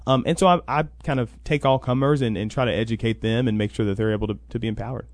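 A man with a medium-pitched voice gives chatty interview answers as a guest. He explains things through little quoted exchanges. The sound is dry and close, with little room. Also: steady tone, quick pace, no echo. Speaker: interview guest, college NIL program coordinator. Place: radio sports talk show.

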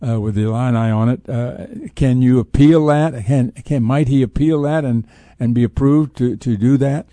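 An older man co-hosting a sports talk radio show, asking questions haltingly and repeating words as he forms them. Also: mid-range voice, warm tone, dry recording. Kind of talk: conversational radio interview questioning.

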